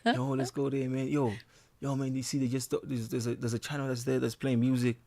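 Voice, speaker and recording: A man's voice: mid-range voice, flat delivery, brisk, a little mellow. A man talking casually in an interview as a musician, laid-back, full of slang and fillers. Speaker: guest musician.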